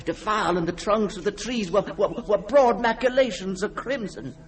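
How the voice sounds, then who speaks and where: An actor playing a haunted, guilt-ridden man who recounts a nightmare in a halting, stammering, theatrical way, as in an old-time radio mystery. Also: high-pitched, lightly acted. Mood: fearful, agitated. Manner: halting, stammering, theatrical delivery with repeated words. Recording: old radio drama with music underneath.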